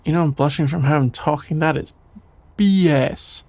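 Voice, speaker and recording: Man acting a creaky-voiced, flustered shy best friend. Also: a little high, darkish tone, no room sound. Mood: flustered, embarrassed. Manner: hesitant, halting, trailing off with sighs and nervous chuckles. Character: shy, flustered young male best friend, sleepy and embarrassed. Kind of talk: intimate ASMR audio roleplay, improvised-sounding dialogue.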